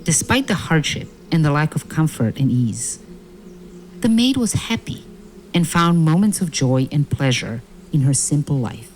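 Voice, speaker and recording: A woman narrating a fairy-tale audio play in a measured, solemn storytelling manner. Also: low-pitched, expressive, a little mellow, slight background noise, rough recording. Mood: gentle storytelling. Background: music.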